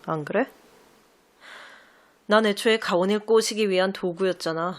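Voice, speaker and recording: A woman playing an insecure teenage idol trainee in an audio drama, with a soft, halting, emotional delivery. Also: mid-range voice, natural voice. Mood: bitter, resigned. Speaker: amateur voice actor. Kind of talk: Korean audio drama acting.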